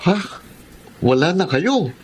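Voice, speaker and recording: A man's voice: on the high side, sing-song, slow pace, a little mellow. An actor playing a sympathetic, inquisitive male friend in a radio drama. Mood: curious, surprised. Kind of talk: Tagalog radio drama acting. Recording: faint background noise.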